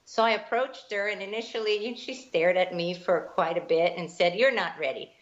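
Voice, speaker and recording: A woman's voice: lowish voice, fairly even intonation, moderate pace. A woman from Guatemala, telling her life story as an interview guest, earnest and reflective. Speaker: guest, spiritual teacher. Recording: dull lo-fi line.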